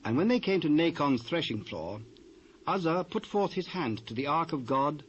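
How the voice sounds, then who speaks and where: A British man narrating a dramatised Bible reading in a measured, formal manner. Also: on the high side, very animated. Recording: dull, noisy old cassette.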